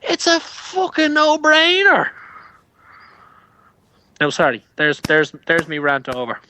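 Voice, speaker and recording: Swearing, animated Irishman ranting. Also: fairly high pitch, slow, no room sound. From Ireland. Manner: profane, heated ranting. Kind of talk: casual ranting chat. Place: Canada.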